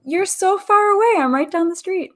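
A woman's voice: very high-pitched, moderate pace, a bit bright. A woman hosting a radio talk show, upbeat and friendly, reading a guest's introduction and opening the interview.

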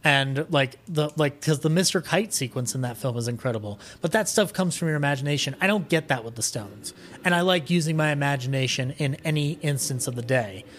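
A man with a slightly high voice chats as a podcast guest. He is enthusiastic and opinionated, rambling through his ideas in an animated, casual way. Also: brisk, slight background noise. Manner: animated, rambling, opinionated, acts out imagined quotes.

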